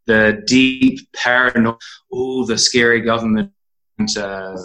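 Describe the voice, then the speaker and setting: A man's voice: mid-range voice, steady tone, takes it slowly, bright, forceful tone, low-quality recording. A young man with a New Zealand accent, chatting informally as a guest in a casual interview. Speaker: interview guest, animal rights activist.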